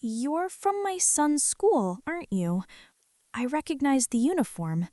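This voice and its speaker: A woman acts as a tender, anxious mother in an ASMR roleplay. Her voice sits fairly high yet stays mellow and hushed, and she speaks very slowly, with hesitant reassurance. The sound is close and dry. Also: big pitch swings, dry recording. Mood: concerned, pensive. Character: gentle, nervous mother fussing over a hurt boy. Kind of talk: soft-spoken ASMR roleplay.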